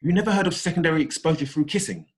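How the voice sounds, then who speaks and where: An actor playing a cold, disgusted ex-husband making accusations in a script read on a video call. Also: male voice, a little high, animated, rapid-fire, close to an everyday voice. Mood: disgusted, accusing. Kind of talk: acted script table read.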